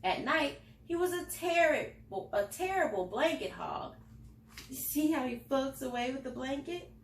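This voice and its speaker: A woman's voice: high voice, expressive, measured pace, slight background noise. A woman reading a picture book aloud to children, expressively and with little asides to the listeners. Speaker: library storytime reader. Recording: roomy, echoing indoor sound.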